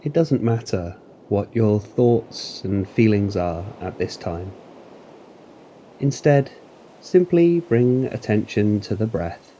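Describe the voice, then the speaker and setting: A man narrating a guided meditation in a calm, deliberate, soothing manner with long pauses. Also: lowish voice, slight background noise, low-quality recording. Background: waves.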